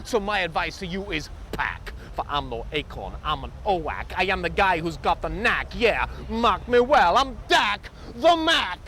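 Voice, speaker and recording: A man's voice: high voice, sing-song, unhurried, hardly any pauses, sharp tone, a little hiss or hum, exaggerated voice. A man playing a brash, swaggering tough-guy rapper in a rhyming performance poem, boastful and theatrically menacing. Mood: boastful menace. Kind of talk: rhyming performance poetry in rap cadence.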